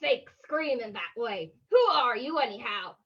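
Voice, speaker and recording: A woman's voice: on the high side, animated, a little slow, a bit bright, muffled recording. Woman playing a crotchety, folksy old watchman. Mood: scolding, suspicious. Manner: folksy, scolding, rustic phrasing. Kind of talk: old-time radio drama reading.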